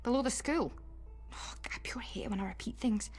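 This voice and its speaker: An actress playing a wry, exasperated Scottish academy teacher in a video game cutscene, speaking colloquially with dry self-mockery. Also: female voice, a little high, fairly quick, husky, whispered, faint music behind. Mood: explaining.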